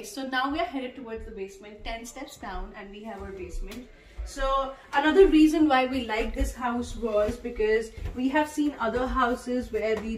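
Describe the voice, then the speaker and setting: Woman, young, chatty, slightly high voice, bare echoing rooms, a bit off mic. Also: far from the mic, a little hiss or hum. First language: Punjabi. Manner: chatty, walks while talking, frequent uh fillers. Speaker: vlogger. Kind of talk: casual house tour. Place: empty house, indoors, bare rooms. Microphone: handheld camera at a distance. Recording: echoey empty rooms, voice from off mic.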